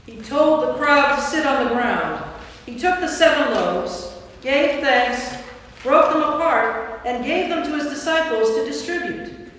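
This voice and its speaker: A woman's voice: high voice, animated, measured pace, a bit bright, slight background noise. A woman giving scripted narration for a staged scripture reading in a church. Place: inside a large church. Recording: distant, very echoey church hall.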